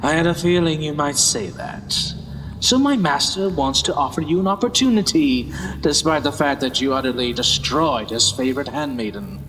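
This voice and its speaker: An actor playing a gloating, scheming villain who serves a dark master, with smug, mocking menace, in a fantasy audio drama. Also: male voice, a little high, very animated, normal speed, gravelly. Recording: long reverb, loud background noise.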